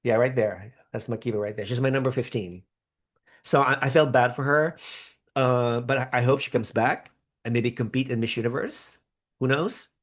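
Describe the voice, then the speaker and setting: A man's voice: mid-range voice, moderate pace. Man, born in the Philippines, live-stream host, rambling, upbeat. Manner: chatty and rambling, many restarts, upbeat bursts. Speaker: podcast host reacting live to a pageant. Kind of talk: live-stream reaction chat reading viewer comments.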